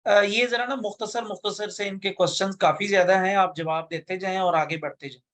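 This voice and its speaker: A man's voice: sits high, steady tone, a little fast, fairly bright tone. A man moderating a live online class in brief coordinating remarks.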